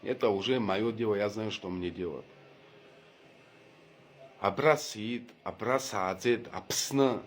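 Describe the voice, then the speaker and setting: A man's voice: lowish voice, takes it slowly, pauses often. A man from Abkhazia, making an earnest, emphatic personal appeal straight to his viewers and repeating his points. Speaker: video blogger making a public apology. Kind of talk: direct-to-camera personal appeal.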